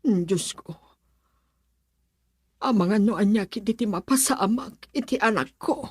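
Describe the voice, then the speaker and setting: A woman's voice: mid-range voice, unhurried, natural voice. A woman with an Ilocano accent playing an anxious middle-aged mother who frets aloud about her missing son in a radio drama. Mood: worried. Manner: fretful, talking to herself in worry.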